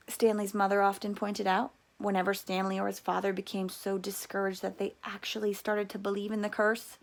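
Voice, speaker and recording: A woman reading a children's novel aloud as a teacher, with expressive storytelling delivery. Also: medium pitch, gravelly.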